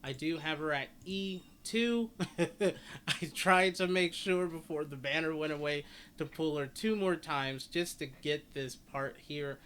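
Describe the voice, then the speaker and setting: Rambling higher-pitched man, noisy recording. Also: a little slow, a bit bright. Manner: rambling, repeats and corrects himself. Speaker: gaming guide host. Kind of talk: casual game guide commentary.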